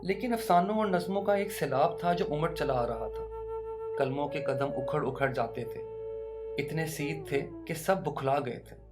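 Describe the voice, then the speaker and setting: A narrator reading a literary short story in a measured, dramatic way, with rhetorical questions and deliberate pauses. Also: medium pitch. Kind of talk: literary audiobook narration, scripted first-person short story. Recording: music bed under the narration. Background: instrumental music.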